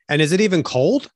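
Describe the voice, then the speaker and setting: A man co-hosting a podcast in casual chat, quick, teasing and upbeat. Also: high-pitched, no room sound, clean studio sound. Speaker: podcast co-host.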